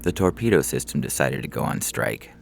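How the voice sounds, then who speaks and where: Very deep, creaky male story narrator. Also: animated, fairly quick, music in the background. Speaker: story reader and announcer. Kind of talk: scripted comic sci-fi narration.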